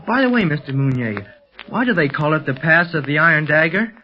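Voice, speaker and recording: A man's voice: on the high side, lively intonation, fairly quick, soft background music, close to an everyday voice. A young male actor playing an eager, curious fifteen-year-old adventurer in a 1930s radio serial. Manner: earnest, gee-whiz enthusiasm. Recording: noisy, muffled 1930s radio recording.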